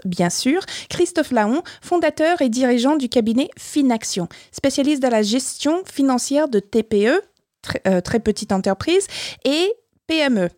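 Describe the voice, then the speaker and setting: A woman's voice: high voice, darkish tone, no echo, studio quality. A woman hosting a business podcast, speaking French as a non-native with an English-speaking accent, upbeat and chatty. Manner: upbeat, chatty, slightly scripted. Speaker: podcast host, business founder. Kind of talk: podcast talk and interview.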